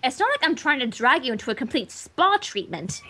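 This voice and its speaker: High-voiced, elegant unicorn lady, played by a woman, fussy and coaxing, very animated delivery. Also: a little fast, full character voice. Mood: persuasive. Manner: prim, theatrical, wheedling. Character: refined, fussy, coaxing female unicorn fashionista.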